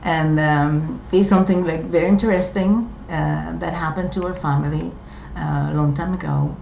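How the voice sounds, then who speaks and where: A middle-aged woman from Puerto Rico, with a Puerto Rican accent and Spanish as her first language, talking in a slow, halting way with many fillers. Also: low voice, fairly even intonation, vocal fry, darkish tone, a little hiss or hum. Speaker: co-host. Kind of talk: casual chat. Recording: dull, echoey room recording.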